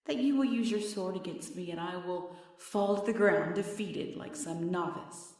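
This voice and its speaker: A woman's voice: lowish voice, moderate pace, a little mellow, close to an everyday voice. A woman playing a haughty, sadistic half-demon, half-witch villainess in an ASMR fantasy roleplay, mocking and imperious with theatrical menace. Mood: scornful. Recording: very echoey, slightly distant.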